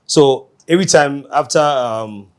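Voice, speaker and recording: A Nigerian man in his late thirties talks with an easy, ordinary pitch as a chatty guest. He rambles with fillers and teases the rival fans. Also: measured pace. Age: 30s. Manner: chatty, teasing, laughs often. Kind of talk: casual chat.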